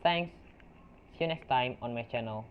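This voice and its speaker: A young man from Indonesia with an Indonesian accent, a non-native English speaker walking through a software tutorial in a halting way, with restarts and fillers. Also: medium pitch. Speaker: tutorial creator. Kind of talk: software screen-recording tutorial. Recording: tinny lo-fi mic with faint noise.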